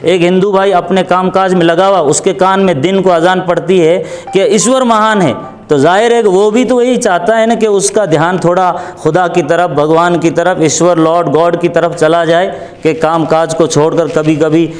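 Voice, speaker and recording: Man, slightly high pitch, deliberate explanations, emphatic repetition, cleric in an interview. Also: fairly even intonation, faint music behind. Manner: deliberate, repeats phrases for emphasis.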